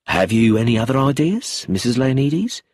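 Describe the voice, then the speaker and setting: A man voicing a calm, blunt police chief inspector in an audiobook, low, steady and abrupt. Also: moderate pace, slightly rough, dry recording, studio quality. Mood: firm.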